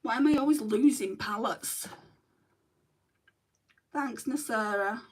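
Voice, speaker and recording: A woman's voice: fairly high pitch, slow pace, breathy edge. A middle-aged woman with a northern English accent, chatting casually through a makeup livestream, reading out comments and muttering to herself as she works. She says she is in back pain. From northern England. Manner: chatty, self-deprecating, mutters to herself while working.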